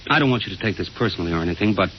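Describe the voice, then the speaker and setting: An actor playing an earnest, polite but uneasy young psychology assistant in a 1950s radio drama. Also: male voice, low-pitched, lively intonation, rapid-fire. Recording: muffled, noisy vintage radio recording.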